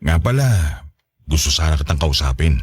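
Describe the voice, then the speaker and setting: A male actor plays a tender Filipino widower talking to his grown daughter in a radio drama. His voice is very low and moves expressively, with an affectionate, hesitant manner. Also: expressive, normal speed, husky, no echo, clean studio sound, full character voice. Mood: hesitant, serious. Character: gentle widowed father of a college student daughter.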